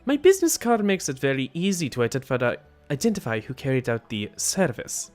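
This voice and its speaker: A man voicing a cold, formal hired killer from a video game, in a deliberate, pompous manner. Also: mid-range voice, big pitch swings, a little mellow, pitched below the performer's own voice, close to an everyday voice. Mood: indignant, cold. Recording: music behind the voice.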